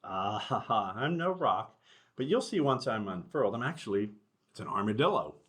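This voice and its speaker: A man voicing a nervous, forgetful female armadillo schoolteacher in a halting, sheepish storybook read-aloud. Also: on the low side, big pitch swings, a bit bright.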